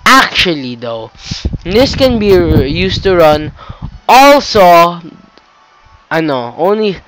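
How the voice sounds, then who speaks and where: A man's voice: sits high, big pitch swings, takes it slowly, fairly bright tone, soft background music, rough recording. A teenage boy with a cold who rambles and corrects himself while explaining steps on a computer, swearing casually in a tutorial. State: has a cold. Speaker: tutorial maker. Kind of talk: casual screen-recorded tutorial. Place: indoor room at a computer.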